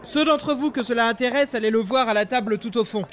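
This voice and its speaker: A man's voice: very high voice, fast, fairly bright tone, a faint crowd. A man playing an obsequious, money-minded innkeeper in a comic fantasy audio drama, speaking in a formal, servile manner. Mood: announcing loudly.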